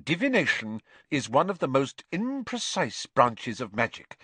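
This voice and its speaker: A man acts out a stern older woman who teaches magic in a storybook. The voice is lifted high and the delivery is clipped, dry and matter-of-fact, recorded close and dry. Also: big pitch swings, measured pace, bright, forceful tone, breathy edge, no echo, exaggerated voice. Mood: stern, restrained. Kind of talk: audiobook character voice.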